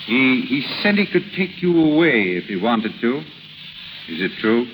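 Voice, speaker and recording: A middle-aged man acting in a 1930s radio drama as a proud, wounded husband with formal, halting speech. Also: mid-range voice, very animated, close to an everyday voice. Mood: hurt, tense. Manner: formal, halting under emotion. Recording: noisy, sizzling 1930s radio recording.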